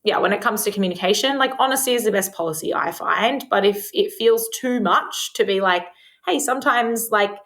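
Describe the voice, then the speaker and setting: Woman, loud voice, candid, casual podcast chat, lots of fillers. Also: mid-range voice, brisk. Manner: frank, open about sex, filler-heavy "like". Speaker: guest sex therapist.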